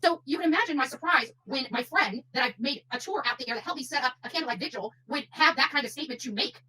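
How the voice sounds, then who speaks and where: A woman's voice: high-pitched, lively intonation, fast talker, bright, forceful tone, husky, rough recording. A woman doing unscripted livestream commentary, speaking emphatically and repeating phrases for stress.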